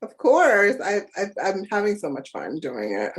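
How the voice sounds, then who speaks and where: A woman's voice: mid-range voice, huge pitch swings, normal speed. A woman from Ghana, a therapist, talking casually as a podcast guest and often adding "right?" along with fillers. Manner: talkative, often adds "right?" and trails off with fillers. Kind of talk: casual conversational interview.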